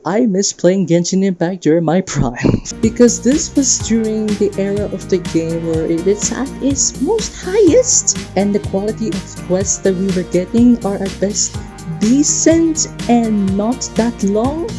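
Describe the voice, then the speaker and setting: A man's voice: high-pitched, very animated, measured pace. A man hosting a gaming reaction video, mixing scripted commentary with casual chat in a theatrical, playful fan manner. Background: music behind the voice.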